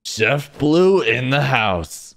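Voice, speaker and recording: A man's voice: medium pitch, sing-song, slow, fairly bright tone, slight background noise, heavily acted. A man voicing an eager, bossy young stick-figure chef in a cartoon fan dub, talking in short casual instructions. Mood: confident, instructive.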